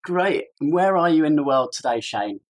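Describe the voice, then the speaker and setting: A man hosting a podcast, introducing his guest with an enthusiastic, lively delivery before asking interview questions. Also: on the high side, normal speed. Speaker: podcast host. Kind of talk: upbeat scripted intro then interview questions.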